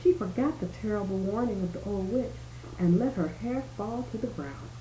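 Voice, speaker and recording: A woman's voice: medium pitch, moderate pace, dark tone. A woman reading a bedtime story aloud expressively, with small explanatory asides as if to a child. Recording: roomy home recording with faint noise.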